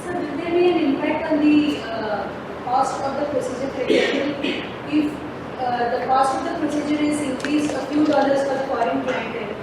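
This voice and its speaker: High-voiced woman, distant in an echoey hall. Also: moderate pace. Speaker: audience member, student. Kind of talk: asking a question from the floor. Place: lecture room, in the audience. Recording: echoey hall, far from mic, noisy.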